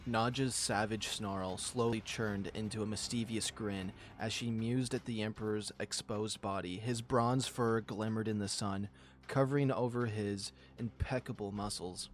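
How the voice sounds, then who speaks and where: A man's voice: lowish voice, fairly even intonation, a little slow. A man reading a visual novel aloud as a channel host, in a storytelling style with casual commentary.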